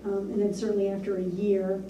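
A woman reporting to a town board in a measured, businesslike way with frequent ums, recorded in a small municipal meeting room by a distant camera mic. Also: medium pitch, monotone, echoey room, lo-fi sound, noisy background. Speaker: town administrator. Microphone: far room camera.

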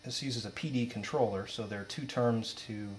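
A man's voice: mid-range voice, normal speed. A young man giving an informal, matter-of-fact demonstration, explaining things as a student presenter. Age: young adult. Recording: steady mains hum, background noise.

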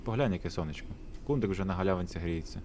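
A man voicing a poor, polite young girl in a visual novel, gentle and coaxing. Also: low-pitched, very fast, noisy background, natural voice. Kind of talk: theatrical voice acting for a visual novel.

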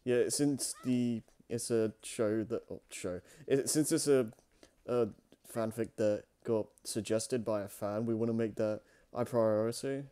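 A man's voice: on the low side, fairly even intonation, measured pace, dark tone, smooth. A man co-hosting a show in casual, unscripted chat, with a hesitant, rambling way of talking. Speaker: co-host of a fan fiction reading show.

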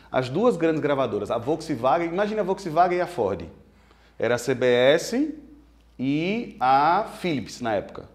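A middle-aged man in a documentary interview, telling his story in an animated, emphatic way and making comparisons, recorded indoors on a studio set. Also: mid-range voice.

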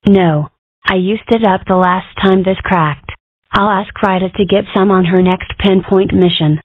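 A weary female rabbit fixer from a cartoon comic dub, acted by a woman, speaks with deadpan, sardonic wit. Her voice sits at a middling pitch. The recording is close and dry, with a dull, muffled tone. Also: a little slow, no room sound, close to an everyday voice. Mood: tired. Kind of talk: comic dub character acting.

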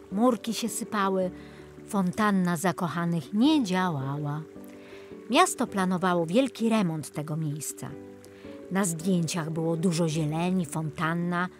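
A woman narrates a children's audiobook at a slow, measured pace. Soft music plays behind her voice as she tells the story. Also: on the low side.

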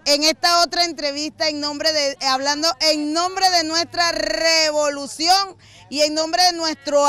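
A woman's voice: high-pitched, fairly bright tone. A middle-aged Venezuelan woman hosting community TV, interviewing people outdoors on a street with a handheld mic, effusive and fervent. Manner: effusive, fervent, repeats herself. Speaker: community TV host.

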